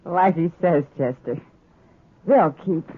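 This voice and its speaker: A woman's voice: on the low side, slow pace, over loud music. A woman playing an easygoing, worldly saloon woman in a 1950s radio western, with a familiar, conversational manner. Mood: easy, confiding. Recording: muffled old radio with faint hiss.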